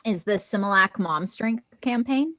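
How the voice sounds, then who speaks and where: A woman's voice: medium pitch, animated, moderate pace, fairly bright tone, dry recording. A woman in her thirties from Southern California with a neutral American accent, chatting casually as an interview guest and filling her talk with "like" and laughs. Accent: General American. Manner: chatty, many "like" fillers, laughs often. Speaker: podcast guest, voice actor and coach.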